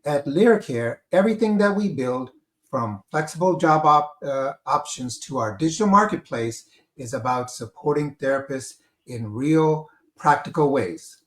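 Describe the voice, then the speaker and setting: A man hosting a live interview, talking haltingly with many fillers and a repeated "on there". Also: mid-range voice, lively intonation, fairly bright tone, reverberant. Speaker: host, company director of school services.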